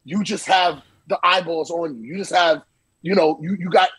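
A man's voice: fairly high pitch, moderate pace, crowd noise. A man in his forties, a DJ guest on a casual podcast, talking over a video call from a small room at home, swearing freely and repeating himself as he tells stories. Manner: animated, hand-waving storyteller, swears freely and repeats himself. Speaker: DJ and interview guest.

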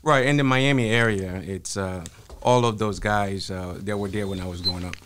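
A man, a podcast guest answering in a measured, earnest way. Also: fairly low pitch, fairly even intonation, fairly bright tone. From Haiti. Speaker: guest, author. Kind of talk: interview answers. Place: podcast studio, indoors. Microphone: studio mic on arm, headphones.

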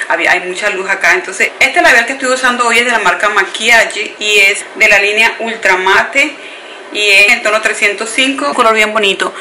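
A woman's voice: medium pitch, reverberant, a little hiss or hum, low-quality recording. A woman in her thirties from the Caribbean coast of Colombia, with that coastal accent, chatting casually to camera as a beauty vlogger. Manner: chatty, warm address to viewers as "bellezas", emphatic questions. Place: home room with shelves and clothes rack, indoors. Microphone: camera in front at close range.

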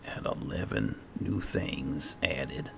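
Very deep, gravelly slow-talking man. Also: expressive, a bit bright, slight background noise. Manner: halting, musing to himself. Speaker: gaming host, player. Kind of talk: roleplay gameplay commentary. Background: game music.

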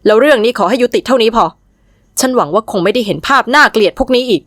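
A woman's voice: medium pitch, lively intonation, a little fast, a bit bright, no room sound, clean studio sound, lightly acted. A woman reading a Thai novel aloud, voicing a stern, authoritative female department supervisor who scolds her staff. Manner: firm, scolding.

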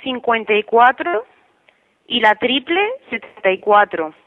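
A woman's voice: a little high, lively intonation, unhurried. A woman working as a hotel receptionist, speaking firmly and matter-of-factly on a customer call. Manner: matter-of-fact, firm. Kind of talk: customer service phone call. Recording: muffled telephone line.

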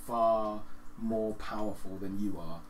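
A man's voice: lowish voice, steady tone, slow pace. A man narrating as game master in an improvised tabletop roleplaying session. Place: living room with several players. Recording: echoey living room, faint background noise.

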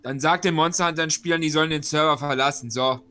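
A man's voice: on the high side, steady tone, fast talker, sharp tone, clean tone. A man talking casually as a player in an online role-playing session. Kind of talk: casual game chat. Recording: online voice call, background music.

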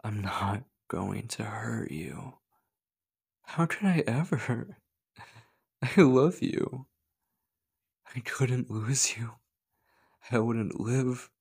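A male voice actor plays a possessive male stalker in an ASMR horror roleplay. His voice is husky and gravelly, and he speaks slowly and haltingly, moving between breathy menace and clingy pleading. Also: medium pitch, expressive, darkish tone, full character voice. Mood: obsessive, adoring. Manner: slow, halting, slipping between breathy whispers and urgent pleading.

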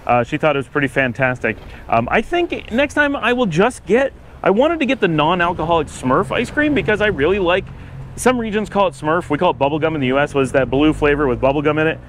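A man's voice: on the high side, very animated, brisk. A middle-aged man chatting casually in a walking vlog, rambling with lots of fillers and restarts, filmed outdoors on a city street with a camera held at arm's length. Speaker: travel vlogger. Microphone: handheld action camera at arm's length. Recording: faint outdoor street noise.

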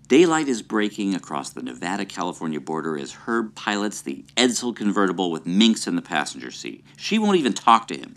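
A man plays a pompous middle-aged scholar who lectures in a mock documentary interview. His voice is very deep, and his pitch swings in a lively, animated way. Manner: pompous, expository.

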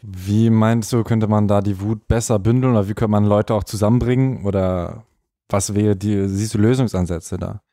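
Low, mellow male podcast interviewer. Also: steady tone, normal speed, no echo, studio quality. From North Rhine-Westphalia, Germany. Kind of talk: casual interview questions.

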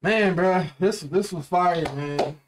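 A man's voice: high voice, slow pace, soft background music. A young man co-hosting a reaction show with casual, slangy chat. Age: young adult. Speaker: co-host of an anime reaction show. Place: small indoor room, seated.

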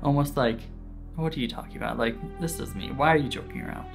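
A man's voice: mid-range voice, fast, creaky. A man in his thirties with an American accent, telling stories casually to camera as a vlog host in a conversational, informal way. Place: small indoor room set. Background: background guitar music.

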